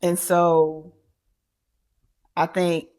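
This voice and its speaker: Slow, low-voiced woman podcaster. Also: level tone, a little mellow. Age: middle-aged. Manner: halting, many fillers and restarts. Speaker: podcast co-host. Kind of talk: casual chat.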